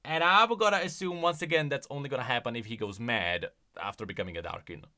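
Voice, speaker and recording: A man's voice: mid-range voice, quick pace, pushed and bright. A man hosting a video, giving off-the-cuff, emphatic commentary that explains game lore.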